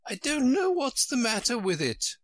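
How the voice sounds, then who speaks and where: A helpless, fretful queen from a storybook, acted out by a man reading aloud. The voice is pitched far up and swoops about with a melancholy, put-upon air. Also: very animated, measured pace, bright, forceful tone, no echo, exaggerated voice.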